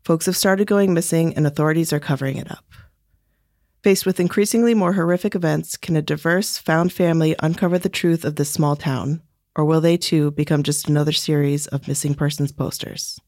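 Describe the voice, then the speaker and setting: A woman host reading scripted podcast announcements in a steady, clear way. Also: low-pitched, brisk, no room sound, clean studio sound.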